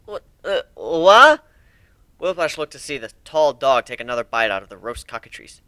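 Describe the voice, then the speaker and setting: A man giving an expressive, wry dramatic reading of a first-person story as an amateur narrator. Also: mid-range voice, fast talker, clear, resonant tone, no room sound.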